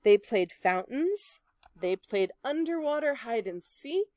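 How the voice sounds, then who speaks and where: A woman reading a children's picture book aloud in an expressive, deliberate storytelling manner. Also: a little high, no room sound. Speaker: storybook reader.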